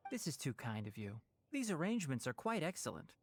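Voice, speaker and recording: A man's voice: on the high side, very animated, fairly quick. A man playing a bookish, practical young forest ranger in an anime-style game, articulate and dry. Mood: polite.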